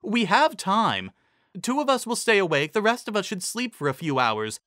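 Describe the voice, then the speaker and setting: A man's voice: high voice, huge pitch swings, moderate pace, bright, forceful tone, no echo, studio quality, voice pushed up, exaggerated voice. A man voicing a perky, sensible female pony who takes charge in an eager, encouraging way. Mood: decisive.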